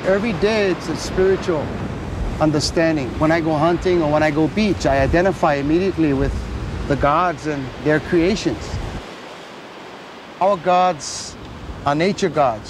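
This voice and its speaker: A man's voice: on the high side, moderate pace, background noise. A middle-aged man from Hawaii, speaking firmly and from the heart in a documentary interview on a rocky shore. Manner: firm, heartfelt and outspoken. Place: outdoors on a rocky shore by the surf.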